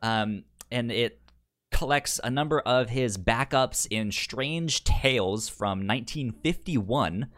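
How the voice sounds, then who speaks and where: A man's voice: mid-range voice, slow, fairly bright tone, dry recording, clean studio sound. A man chatting casually as a podcast co-host, often stammering and repeating words and syllables. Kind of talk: casual movie podcast chat.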